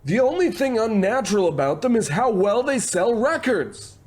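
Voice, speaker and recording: Pushy, high-pitched showbiz producer. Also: male voice, big pitch swings, moderate pace, darkish tone. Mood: bossy, condescending. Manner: bossy, gushing, condescending pet names. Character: pushy, self-important fast-talking showbiz producer man. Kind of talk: old-time radio comedy acting.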